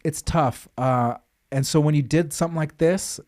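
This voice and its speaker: 30s guy from LA hosting a podcast, mellow voice, blunt and sweary, talks straight. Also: fairly high pitch, normal speed. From Los Angeles. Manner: candid, swears casually. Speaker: podcast host, financial advisor. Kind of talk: casual interview chat.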